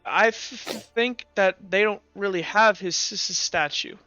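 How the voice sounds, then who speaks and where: A jittery townsman trips over the start of nearly every word, his voice pushed up well above its usual pitch. A man acts him out over a dull, dry, lo-fi line. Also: measured pace, bright, forceful tone, dry recording, faint music behind. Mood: nervous. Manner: stutters on first sounds. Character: nervous stammering male townsman.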